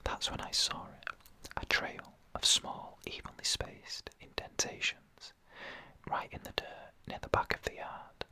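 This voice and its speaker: A narrator telling a scary story in a breathy ASMR whisper, in slow, hushed, deliberate phrases.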